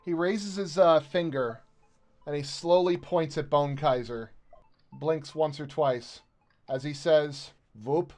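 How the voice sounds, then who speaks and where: A man in his thirties acting as game master and stream host, narrating and chatting in a halting, conversational way. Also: fairly high pitch, a little mellow. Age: 30s. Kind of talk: improvised tabletop game narration and casual chat. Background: music.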